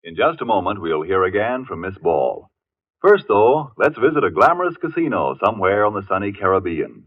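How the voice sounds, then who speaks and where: Lively low-voiced American radio pitchman. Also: brisk, husky. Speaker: sponsor's announcer. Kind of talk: scripted 1940s radio advertising narration. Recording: dull vintage radio, music behind.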